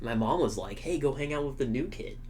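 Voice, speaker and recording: A man's voice: mid-range voice, expressive, a little fast, a little mellow. Laid-back young guy cohosting, dry humor, slight background hiss. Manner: dry, easygoing storyteller. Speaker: podcast co-host. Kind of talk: casual chat.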